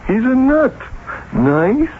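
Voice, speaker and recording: An actor playing a gruff, sarcastic American janitor with a blunt, slangy way of talking, in a radio drama. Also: male voice, extremely high pitch, sing-song, slow pace, exaggerated voice. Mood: chatty, dismissive. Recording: tinny, noisy old radio broadcast.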